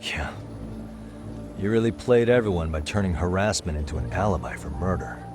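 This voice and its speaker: An actor playing a calm, sharp male detective in a video game cutscene, talking through a case in a level-headed, deductive way. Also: low-pitched, normal speed. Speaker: private detective. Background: background music.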